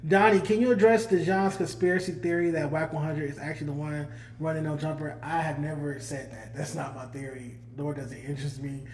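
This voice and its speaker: A man's voice: fairly high pitch, steady tone, brisk, few pauses, darkish tone. Chatty man in an echoey room. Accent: Los Angeles. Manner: loose, chatty, reads out viewer comments. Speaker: podcast host. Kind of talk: casual livestream chat.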